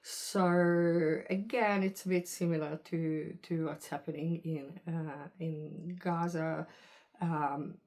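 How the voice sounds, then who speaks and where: A woman speaks to camera in a deep, low voice. She talks slowly and meanders, pausing and hedging her points. It sounds like an unscripted personal vlog. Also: steady tone, darkish tone. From Hungary. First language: Hungarian. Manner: rambling, hesitant, hedging. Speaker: vlogger. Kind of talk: casual monologue to camera.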